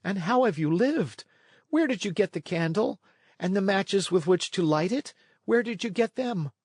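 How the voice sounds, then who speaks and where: A man's voice: high-pitched, expressive, moderate pace, husky, dry recording, studio quality. A man voicing an eager, earnest and plucky wooden puppet boy in a storybook read-along. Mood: curious, urgent.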